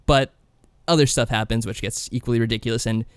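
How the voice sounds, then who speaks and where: A man's voice: mid-range voice, fairly even intonation, quick pace, clear, resonant tone, dry recording. A man co-hosting a podcast, casually recapping a game in a wry, tongue-in-cheek way. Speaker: podcast co-host.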